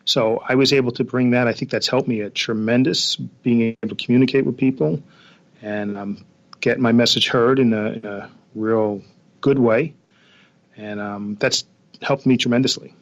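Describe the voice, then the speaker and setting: Through a slightly muffled, lo-fi connection, a middle-aged New man talks as a podcast guest. His pitch is average, and he speaks plainly and haltingly, with plenty of filler phrases. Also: fairly even intonation. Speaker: interview guest, accountant and former police officer. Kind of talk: casual interview talk. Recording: dull, lo-fi remote connection.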